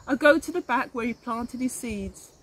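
A woman's voice: a little high, moderate pace, husky. A middle-aged woman reading aloud expressively as a teacher of young children, speaking to them directly. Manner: addresses children directly, asks them questions. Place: outdoors in a leafy garden.

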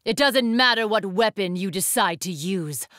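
A woman's voice: medium pitch, lively intonation, normal speed, a bit bright, no room sound, studio quality, close to an everyday voice. An actress playing an imperious, ruthless young woman warrior, speaking in formal, haughty declamations for an anime fighting game. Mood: cold, haughty.

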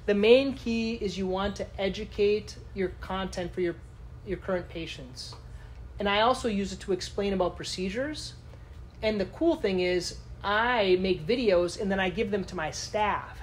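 A woman's voice: medium pitch, normal speed, slight background noise, low-quality recording. A woman giving a live lecture to a conference audience in a casual, chatty way, often asking the room questions. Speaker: conference speaker.

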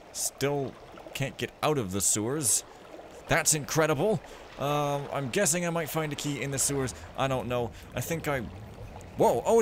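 A man's voice: medium pitch, noisy background. A man giving casual, rambling commentary while playing a stealth game, full of sarcastic jokes and asides. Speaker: let's play commentator.

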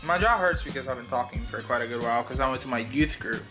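A man's voice: medium pitch, quick pace. A young adult man in a casual vlog, talkative and rambling while drowsy after dental surgery. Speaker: vlogger. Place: car, small house rooms and bedroom. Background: music.

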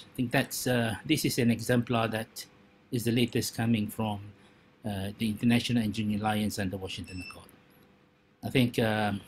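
A man's voice: mid-range voice, rough recording. Guy wrapping up his talk on a video call, faint hum behind. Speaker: panel speaker, professor. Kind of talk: conference presentation.

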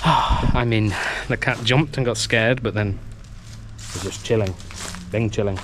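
A man's voice: on the low side, measured pace. A man travel vlogging as he explores an abandoned coastal military base in the heat, chatty and exclamatory, talking into a handheld camera. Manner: chatty, exclamatory, nervously excited. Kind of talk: casual walking commentary. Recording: outdoor noise with handling clicks.

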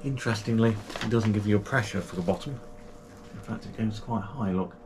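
Man in his thirties, lowish voice, casual chatty unboxing, room noise behind. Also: a little fast. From United Kingdom. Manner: chatty and enthusiastic, with frequent fillers and restarts. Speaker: kayaking channel host. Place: small home gaming room, indoors.

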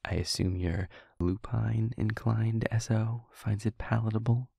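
A man playing a sincere, slightly nervous vampire in an audio roleplay, halting and wry with his friend. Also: lowish voice, fairly even intonation, vocal fry, warm tone, slightly rough, no room sound, full character voice. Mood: wry, amused. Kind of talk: intimate audio roleplay acting.

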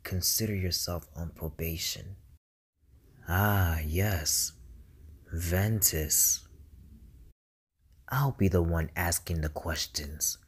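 Sinister young male video game villain, acted by an amateur man: lowish, husky, slow, taunting. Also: exaggerated voice. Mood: cold mockery. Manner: slow, taunting, menacing delivery.

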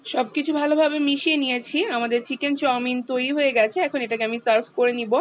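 A woman, calmly walking through a home cooking recipe step by step as the channel's host. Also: sits high, quick pace, slight background noise. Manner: calm, instructive, explains each step.

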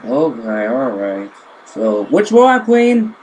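A woman's voice: a bass voice, very animated, slow, a little mellow, a little hiss or hum, full character voice, low-quality recording. A woman voicing an easygoing young male cartoon hedgehog in a casual, questioning way. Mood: agreeable. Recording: game music behind the voice.

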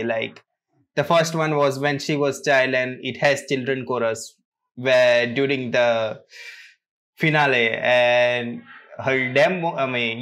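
A man's voice: mid-range voice, a bit bright, rough recording. A man hosting a reaction video, commenting casually in a hesitant, trailing way.

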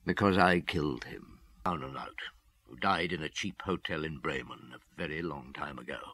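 An actor in a radio drama playing a brooding, guilt-ridden police detective who tells things in a reflective, halting way. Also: male voice, very deep voice, pushed and bright, no echo, exaggerated voice. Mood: haunted, reflective. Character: brooding, guilt-ridden police detective, world-weary middle-aged man.

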